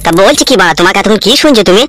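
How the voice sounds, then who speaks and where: A man's voice: high voice, expressive, very fast, sharp tone, no echo, studio quality. A man voicing a gangster's anxious, deferential and crude sidekick in a comedy cartoon. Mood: agitated reporting. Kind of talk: amateur comedy cartoon dialogue.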